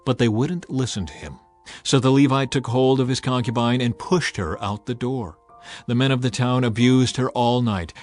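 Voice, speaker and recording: A man narrates a dramatized scripture audiobook at a middling male pitch. His storytelling is measured and dramatic, swelling for emphasis, and music plays beneath him. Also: a little mellow. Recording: music bed under the voice.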